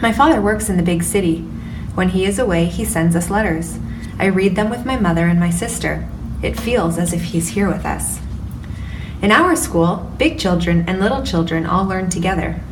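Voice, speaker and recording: A woman reading as a gentle young village girl. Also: on the low side, moderate pace, roomy sound, lightly acted. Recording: distant mic with background noise.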